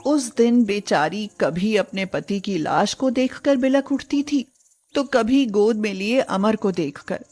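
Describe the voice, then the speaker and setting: A woman's voice: mid-range voice, animated, slow, darkish tone. A woman narrating a scripted moral story in a dramatic storytelling style.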